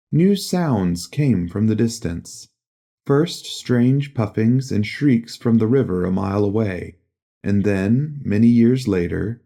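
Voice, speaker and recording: Male narrator for literary fiction, lower-pitched and very mellow, solemn measured delivery. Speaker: audiobook narrator.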